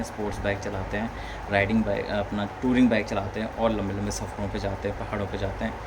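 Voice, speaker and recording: A man giving a casual hands-on tutorial as a vlogger, informally thinking aloud step by step. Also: fairly low pitch. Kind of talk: casual hands-on tutorial chat in Hindi mixed with English words. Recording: clearly noisy background ambience.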